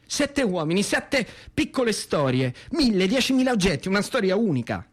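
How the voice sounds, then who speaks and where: A man's voice: high-pitched, sing-song, moderate pace. A man with a central Italian accent performing a dramatic storytelling monologue in a colloquial, rhythmic, theatrical way. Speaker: actor and storyteller.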